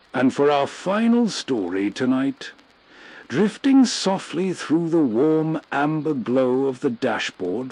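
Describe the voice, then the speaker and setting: Male storyteller-host, breathy husky voice, unhurried measured delivery, atmospheric scripted narration. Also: mid-range voice, darkish tone.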